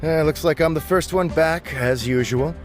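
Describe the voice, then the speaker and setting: A man's voice: on the high side, moderate pace, faint music behind, natural voice. An actor playing a smug, boastful young male informant in a game, who talks in a cocky, teasing way. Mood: casual. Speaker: game character.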